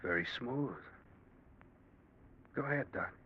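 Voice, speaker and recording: Husky, tough underworld gangster. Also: male voice, medium pitch, expressive, takes it slowly, heavily acted. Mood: resentful. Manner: blunt, slangy, streetwise. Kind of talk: 1940s radio crime drama acting. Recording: muffled vintage radio drama recording.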